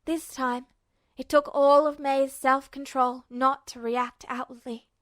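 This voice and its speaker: High-voiced woman narrating fiction. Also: flat delivery, measured pace, fairly bright tone, dry recording, clean studio sound. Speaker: audiobook narrator. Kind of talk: expressive scripted fiction narration.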